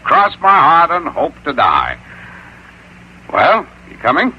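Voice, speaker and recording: A man's voice: fairly high pitch, wildly swooping pitch, slow pace, slightly rough, exaggerated voice. An actor playing an eager, coaxing American insurance man in an old-time radio drama. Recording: dull, faintly noisy vintage radio recording.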